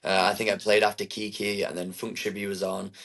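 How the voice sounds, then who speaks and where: A man, talking casually as an interview guest, relaxed and rambling with many fillers. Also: on the low side, fairly quick, gravelly. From England. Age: 20s.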